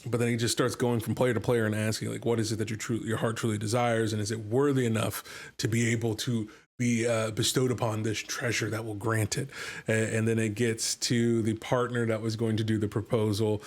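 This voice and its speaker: A man's voice: on the low side, level tone, fairly quick. A man hosting a casual podcast, talking enthusiastically in a rambling way with lots of fillers and repeated words. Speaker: podcast host and game master.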